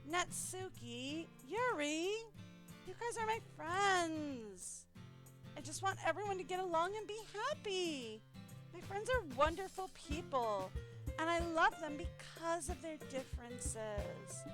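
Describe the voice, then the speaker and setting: A sweet, childlike schoolgirl from a visual novel, played by a woman, pitched very high. She pleads earnestly and slowly over clearly audible music. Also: lively intonation, heavily acted. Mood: pleading. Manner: pleading, gushing. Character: sweet, earnest, childlike teenage girl peacemaker. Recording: loud music behind the voice.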